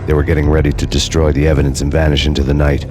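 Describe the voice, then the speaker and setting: A brooding hardboiled detective narrates a noir video game in an extremely low, bottomed-out voice. His delivery is grim, wry deadpan with little melodic movement, over clearly audible music. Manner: slow, grim, poetic deadpan.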